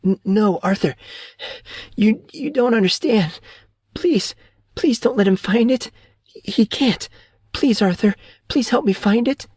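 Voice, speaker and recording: A man voicing a weak, desperate teenage girl who speaks in a breathy whisper and stammers as she pleads. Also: fairly high pitch, expressive, slow pace, darkish tone, no echo, studio quality, pitched above the performer's own voice, heavily acted. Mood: frantic pleading.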